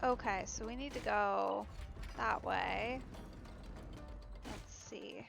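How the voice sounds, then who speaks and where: A woman's voice: mid-range voice, takes it slowly, vocal fry, a bit bright, soft background music. A woman giving casual let's play commentary, thinking aloud as she goes.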